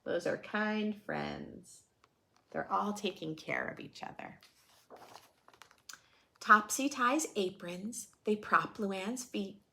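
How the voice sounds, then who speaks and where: A woman reads a picture book to young children like a preschool teacher. Her pitch swings widely and expressively, and she takes her time over each phrase. There is noticeable creak in her voice, and she often turns to the listener with playful questions. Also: big pitch swings, slow, pauses often, bright, forceful tone. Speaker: children's read-aloud teacher. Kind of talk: expressive storybook read-aloud with teaching asides.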